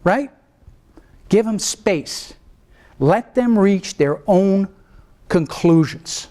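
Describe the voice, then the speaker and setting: A middle-aged man, a trial lawyer, lecturing law students in an animated way, swinging between a low calm voice and sudden raised mimicry. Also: very slow. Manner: animated, mimics other lawyers with rising pitch, then drops low to show restraint.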